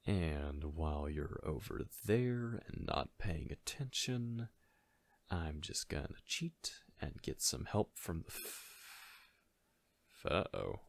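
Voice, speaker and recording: A man playing a caring, goofy best friend in a soft-spoken ASMR audio roleplay, talking in a halting, gently chiding, conversational way. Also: very deep voice, expressive, gravelly, no echo, full character voice. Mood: sheepish, rueful.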